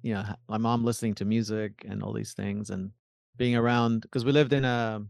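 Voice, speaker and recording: Smooth-voiced man, Miami-raised guest. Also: fairly low pitch, fairly even intonation, a little fast, a little mellow, no room sound, studio quality. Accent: American, Miami. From Argentina, raised in Miami. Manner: rambling, many restarts and likes. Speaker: composer, guest. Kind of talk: casual interview answers.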